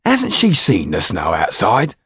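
A man's voice: lowish voice, wildly swooping pitch, a little slow, fairly bright tone, breathy edge, no echo, clean studio sound, pitched above the performer's own voice, exaggerated voice. A man voicing a resentful coachman in an audiobook, grumbling and sarcastic.

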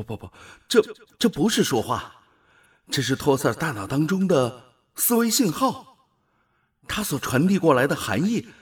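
An actor playing an awestruck space explorer in a radio drama, halting and full of wonder. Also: male voice, on the high side, darkish tone. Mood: startled, confused. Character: awestruck space explorer newly transformed into an alien body.

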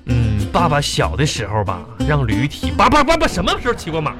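A man's voice: fairly high pitch, wildly swooping pitch, fairly quick. A man with a northern Chinese accent playing an exasperated, blustering father who sputters and repeats himself in a comedic radio drama. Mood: flustered, defensive. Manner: sputtering, repeats words when flustered. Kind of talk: live comedic radio drama, earthy and colloquial. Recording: music playing behind.

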